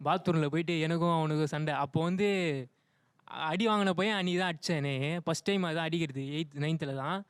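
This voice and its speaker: A man's voice: on the high side, fast, smooth, no room sound, clean studio sound. A young man telling anecdotes informally as a talk show guest. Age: young adult.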